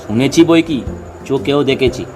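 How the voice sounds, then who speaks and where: An actor playing a pedantic, excitable amateur scientist who lectures insistently in a comedy audio drama. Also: male voice, medium pitch, moderate pace, natural voice. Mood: eager. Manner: didactic, animated, insistent. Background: background music.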